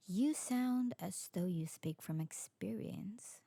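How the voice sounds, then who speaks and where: A woman's voice: mid-range voice, slow pace, vocal fry, dark tone, breathy edge, no room sound, studio quality, exaggerated voice. A woman playing a curious, adventurous, newly turned vampire in an ASMR roleplay drama, speaking in a genteel, formal way. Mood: intrigued. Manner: genteel, formal, lingering delivery.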